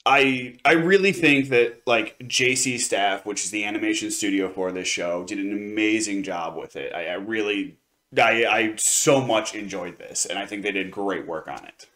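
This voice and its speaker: A man's voice: fairly low pitch, normal speed, pushed and bright. A man co-hosting a review show, talking casually and emphatically, often repeating his points. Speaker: co-host of an anime review show.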